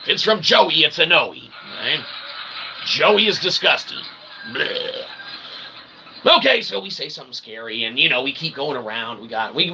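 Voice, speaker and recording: A very bright, forceful man rambles through a livestream in roundabout, mock-formal phrases. His pitch swings in a lively way and carries a gravelly fry. There is some noise in the background as he checks in with okay and right. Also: low-quality recording. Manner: often tags sentences with "okay" and "right". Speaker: livestream host. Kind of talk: rambling improvised livestream chat with viewers.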